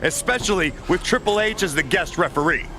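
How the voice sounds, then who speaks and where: A man's voice: high voice, animated, brisk, music in the background, poor audio. A man playing a cynical, blunt wrestling color commentator in a video game. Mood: skeptical, mocking. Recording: loud arena background noise.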